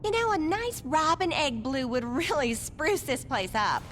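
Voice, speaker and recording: A woman's voice: high-pitched, lively intonation, measured pace, fairly bright tone, slight background noise. A woman playing a perky, sweet suburban housewife who cooks drugs in a video game, chirpy and sugary. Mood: cheerful, helpful. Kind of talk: video game cutscene acting.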